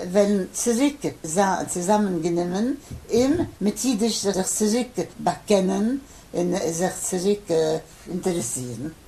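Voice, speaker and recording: An older man from Poland, a native Polish speaker, gives a hesitant interview answer full of pauses and fillers. His voice sits low and gravelly, with a dark, mellow tone. A faint hiss of noise runs underneath. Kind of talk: halting interview in Yiddish.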